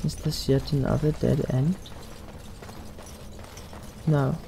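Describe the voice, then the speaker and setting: A man playing a calm adventurer in a roleplayed game commentary, deliberately narrating his own actions aloud. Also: fairly high pitch, vocal fry, noisy background. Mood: musing. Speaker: let's player.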